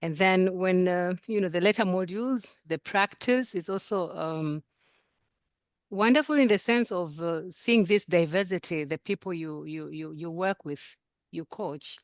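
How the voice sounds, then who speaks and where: Low-voiced woman, halting and earnest. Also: no room sound, clean studio sound. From Tanzania. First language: Swahili. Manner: earnest, halting, many repeated words. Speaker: coaching program participant. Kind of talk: sharing experience in a group video call.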